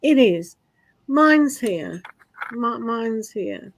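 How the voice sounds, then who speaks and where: A woman's voice: on the high side, animated. A woman, co-hosting a live stream of oracle card readings and chatting in a playful, teasing way. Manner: teasing, playful and quick to laugh. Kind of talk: casual chat on a live stream.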